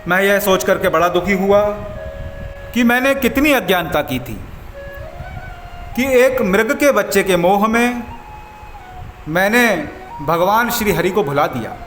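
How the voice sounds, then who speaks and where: Man voicing a serene sage, high-pitched and slow. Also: lively intonation, a bit bright. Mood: serene, solemn. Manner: solemn, deliberate philosophical teaching. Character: serene enlightened male sage, detached and humble. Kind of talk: devotional storytelling. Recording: echoey voice over music.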